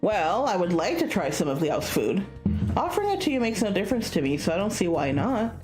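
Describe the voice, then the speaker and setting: A proud old tiger spirit from a story game, read by a woman. He speaks in an aloof, appraising way with expressive turns, while music plays underneath. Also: mid-range voice, brisk, lightly acted. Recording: music behind the voice.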